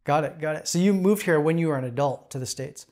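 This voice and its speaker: A man hosting a podcast interview in a casual, relaxed way, leaning on fillers and "got it.". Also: a little high, quick pace. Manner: relaxed, filler-heavy, says "got it" often. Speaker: podcast host.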